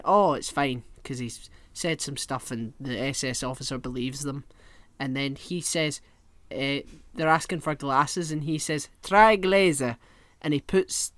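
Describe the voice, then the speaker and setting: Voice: mid-range voice, no echo. A podcast co-host chatting casually and swearing freely while telling rambling comic stories. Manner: rambling, animated storyteller.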